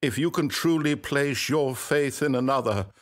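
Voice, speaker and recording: An actor playing a dry, sardonic male British storybook narrator in a video game, with a slightly husky voice. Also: mid-range voice, moderate pace, no echo, natural voice. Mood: dry wry. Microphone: close studio mic. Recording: dry studio recording.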